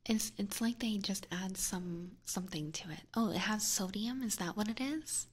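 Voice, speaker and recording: A woman doing casual ASMR chat in a soft, breathy whisper close to a binaural mic, informal and hesitant, chatting to viewers. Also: medium pitch, normal speed. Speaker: ASMR streamer and host. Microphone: close binaural ear microphone.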